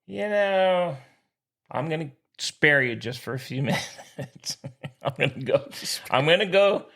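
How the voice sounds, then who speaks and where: A man's voice: on the high side, animated, a little slow, vocal fry, fairly bright tone. A middle-aged man co-hosting a sports podcast, bantering casually in a playful, teasing, colloquial way.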